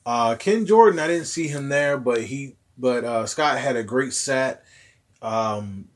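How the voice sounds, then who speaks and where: A man with a hoarse, recovering voice hosting a casual solo podcast, rambling along in a laid-back way. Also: medium pitch, slow pace. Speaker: podcast host.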